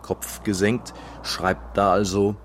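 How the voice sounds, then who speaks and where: An actor playing an observer who recites someone's movements in clipped, repetitive phrases in a literary radio play. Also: male voice, fairly low pitch, flat delivery, takes it slowly, slight background noise. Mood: intent, observing. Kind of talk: literary radio play, spoken stage directions.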